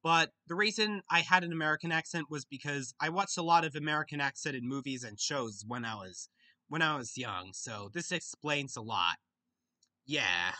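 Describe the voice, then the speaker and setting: A young Australian man reads out viewer questions and answers them off the cuff on a webcam in a small bedroom. His voice is bright and fairly high, and his pitch swings up and down a lot. He often stops, restarts and thinks aloud. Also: expressive. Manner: halting, with frequent restarts and pauses to think. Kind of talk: casual Q&A chat.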